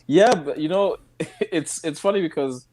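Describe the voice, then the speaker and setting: A man's voice: fairly high pitch, measured pace. A young man from Nigeria, a guest on a video-call interview, talking casually with frequent laughs and restarts. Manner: relaxed, laughs often, speaks in loose restarts. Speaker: guest activist.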